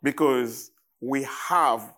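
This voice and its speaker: Slow-paced Haitian man preaching. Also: medium pitch, bright, forceful tone. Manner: halting, deliberate, with pauses between short phrases. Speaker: guest preacher. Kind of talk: sermon to a church congregation.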